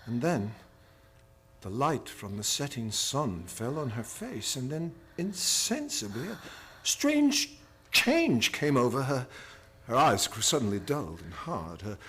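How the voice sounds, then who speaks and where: An actor playing a grave, devoted gentleman reading his private journal in a husky voice, in old-time radio drama style. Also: mid-range voice, sing-song, slow, slight background noise. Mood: grave, troubled.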